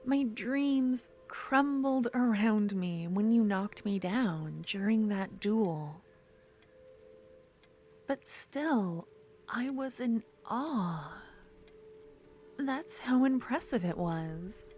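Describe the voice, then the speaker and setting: Woman acting as obsessed yandere swordswoman, higher voice, really slow and close, asmr roleplay, bit of background noise. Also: expressive, a little mellow. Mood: bitter, admiring. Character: obsessive yandere woman duelist, menacing yet tender, bitterly infatuated rival. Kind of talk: ASMR fantasy roleplay monologue.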